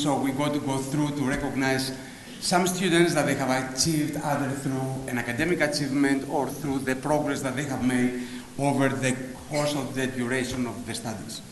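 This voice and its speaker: A man with a Greek accent hosting a formal graduation ceremony and announcing prizes. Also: medium pitch. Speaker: ceremony host presenting prizes. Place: large hall at a graduation ceremony. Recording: echoey hall, loud crowd and noise. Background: audience crowd noise.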